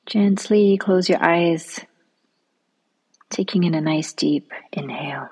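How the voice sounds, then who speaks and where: A woman leading a guided relaxation meditation in a soft, soothing manner, often trailing off into a near-whisper. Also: lowish voice, slow, breathy edge, low-quality recording.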